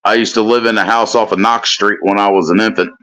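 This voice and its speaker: A man's voice: on the low side, steady tone, fast talker, bright, forceful tone. A man in his thirties with a Kentucky accent, chatting casually as a guest on a live stream and rambling with lots of "you know what I mean.". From Indiana, US. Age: 30s. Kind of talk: casual chat.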